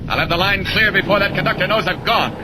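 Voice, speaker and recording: An actor playing a mild-mannered reporter who is secretly a superhero, in 1940s radio acting. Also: male voice, high-pitched, fast, lightly acted. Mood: confident. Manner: stammering, then confident. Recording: old radio recording, noisy.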